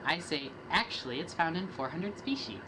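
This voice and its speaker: A young woman with a notably low voice delivers rap verses in a steady, rhythmic reading, swapping lines back and forth with a partner. Clear hiss and background noise sit under the homemade recording. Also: moderate pace. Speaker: student reader. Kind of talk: dramatic reading of rap lyrics.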